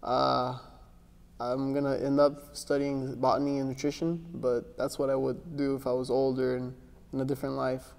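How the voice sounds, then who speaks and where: A soft-spoken young man from Miami, Ecuador and Texas talks in a relaxed, halting way, with a gravelly, mellow voice. His pitch stays flat, and a long reverb from the big gym room trails each phrase. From born in Miami, raised in Ecuador and Texas. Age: young adult. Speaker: interview guest, jiu-jitsu athlete. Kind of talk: casual interview answers. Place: gym with large windows, indoors.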